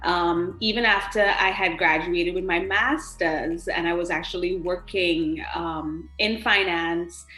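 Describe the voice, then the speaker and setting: A woman talks in a fairly low voice. She answers as an interview guest in a conversational way, and the sound is roomy and echoey with music. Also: fairly even intonation, measured pace, hardly any pauses, sharp tone. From Trinidad. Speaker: podcast guest, attorney.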